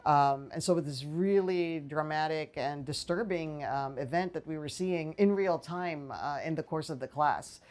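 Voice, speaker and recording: Low-voiced woman professor from the Philippines. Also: moderate pace, hardly any pauses, a little mellow. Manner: thoughtful, with frequent fillers and restarts. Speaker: political science professor. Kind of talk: faculty interview answers. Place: small office with bookshelves, indoors. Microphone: lapel mic.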